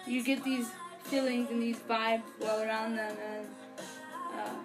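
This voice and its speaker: High-pitched young woman, earnest fan vlogging. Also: flat delivery, a little slow, music in the background. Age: young adult. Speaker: vlogger, fan album reviewer. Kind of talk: casual unscripted review to camera. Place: small furnished bedroom, indoors. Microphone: camera or webcam a few feet away.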